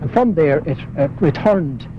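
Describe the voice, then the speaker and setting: A man's voice: a little high, lively intonation, moderate pace. An Irish man, a local historian, talking as he walks through old city streets, with many hesitant fillers. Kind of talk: walking interview. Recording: muffled 1980s broadcast with street noise.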